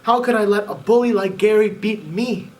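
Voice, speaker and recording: A man voicing an excitable young boy trainer in a storybook reading, pitched up high and sounding breathless and pleading. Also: normal speed, natural voice. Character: eager excitable boy trainer, always rushing. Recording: voice a bit far from the mic.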